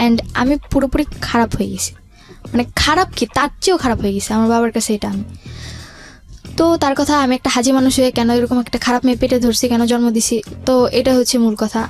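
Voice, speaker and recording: A woman with a Bangladeshi accent recounts her life story as a radio guest. Her voice is electronically altered and sits fairly high, and she talks very quickly, slipping in English words, over faint music. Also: darkish tone. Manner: quotes remembered conversations, mixes in English words. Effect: voice altered to hide identity. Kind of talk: personal storytelling in radio interview.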